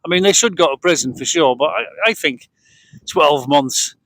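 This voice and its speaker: A man's voice: on the high side, animated, moderate pace, gravelly, fairly bright tone. A British man in middle age or older hosting a casual vlog, chatting off the cuff into a handheld microphone. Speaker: vlog host. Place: outdoors on a boat deck at a marina, breezy evening. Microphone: cheap handheld microphone shared with partner.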